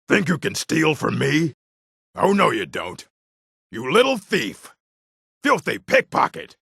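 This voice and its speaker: Video game orc, man, gritty husky voice, forceful edge, blunt, combative, curt. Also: a little high, no echo, clean studio sound, full character voice. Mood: angry, threatening. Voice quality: gravelly, rough, husky. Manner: blunt, curt, threatening delivery. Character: gruff, combative adult male orc townsman and merchant. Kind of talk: video game character barks.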